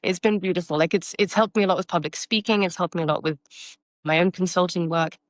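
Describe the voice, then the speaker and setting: A woman's voice: on the low side, fast, no echo. A woman in her thirties who grew up in France, with Taiwanese as her first language, answering interview questions reflectively with fillers and restarts. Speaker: marketing consultant and guest.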